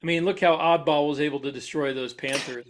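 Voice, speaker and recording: A man's voice: on the high side, steady tone, brisk. A man hosting a podcast in a casual, chatty interview style. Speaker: podcast host.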